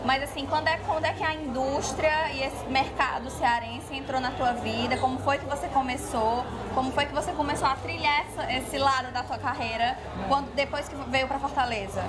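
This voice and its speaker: A woman's voice: high-pitched, fairly quick, hardly any pauses, over music. A woman hosting an interview, asking questions in a polite, welcoming manner. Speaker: interview host.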